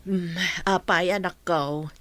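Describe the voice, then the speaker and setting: A woman's voice: fairly low pitch, steady tone, slow. A woman playing a consoling village mother in a radio drama.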